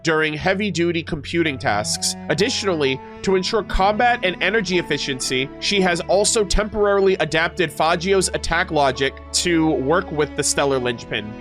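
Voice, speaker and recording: A man's voice: a little high, fairly bright tone. A man streaming a video game, reading lore aloud and reacting informally to his chat. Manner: informal, mild swearing, addresses chat.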